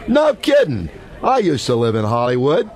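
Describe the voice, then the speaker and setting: Brash hard-boiled gumshoe played by a male actor, slangy, oblivious wisecracks, very animated delivery for comic radio drama. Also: mid-range voice, moderate pace, a little hiss or hum. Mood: brash, chatty. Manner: cocky, slangy, oblivious chatter. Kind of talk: comic old-time radio detective drama acting.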